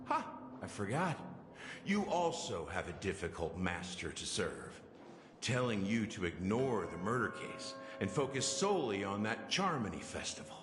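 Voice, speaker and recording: A man's voice: on the low side, big pitch swings, normal speed. An actor playing a roguish, defiant man accused of murder, theatrical and mocking, in a dramatic video game scene. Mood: taunting. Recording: very echoey hall, music underneath. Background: music.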